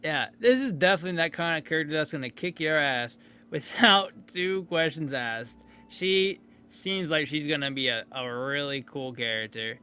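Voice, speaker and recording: A man's voice: on the high side, normal speed, bright, forceful tone, clear, resonant tone. A man hosting a video in a casual, rambling, informal reaction commentary style. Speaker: video host commenting on game voice lines.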